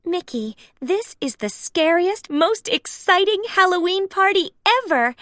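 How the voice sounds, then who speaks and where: A woman voicing an excitable, nervous female cartoon character in a storybook, sweet and girlish. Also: extremely high pitch, measured pace, no echo, clean studio sound, voice pushed up, heavily acted. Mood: impressed, excited.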